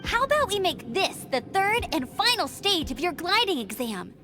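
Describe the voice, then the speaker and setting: High, spirited young knight heroine. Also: female voice, normal speed, music in the background. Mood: confident, playful. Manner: bold, energetic, theatrical delivery. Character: spirited young woman knight, cheeky, confident and eager. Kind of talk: animated video game voice acting.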